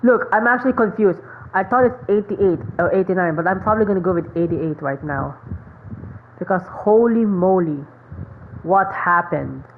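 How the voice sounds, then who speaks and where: A woman's voice: fairly low pitch, moderate pace. A woman giving casual, rambling gameplay commentary, thinking aloud as she streams. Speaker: gaming streamer. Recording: thin phone-like mic, noisy.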